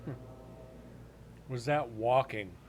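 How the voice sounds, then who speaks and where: A man's voice: medium pitch, takes it slowly, slight background noise. A man reviewing paranormal evidence on a livestream, chatting casually in a blunt, joking way.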